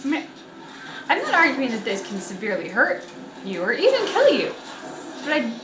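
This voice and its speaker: A woman's voice: high voice, wildly swooping pitch, normal speed. A woman giving casual reaction commentary as a host, with wry, dry understatement. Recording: echoey room with game music.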